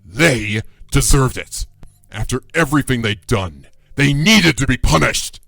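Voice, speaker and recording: A man's voice: medium pitch, lively intonation, a little slow, creaky, pushed and bright, no echo, faint music behind, full character voice. A man playing a murderous, charismatic male dragon in an audio drama, with a theatrical, mocking, condescending manner. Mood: furious outburst. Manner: theatrical, condescending, sighing drawl. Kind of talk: fan audio drama acting.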